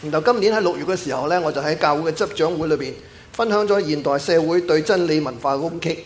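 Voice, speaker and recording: A man's voice: on the high side, fast. A man with a Cantonese accent interpreting a sermon phrase by phrase in church. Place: church sanctuary.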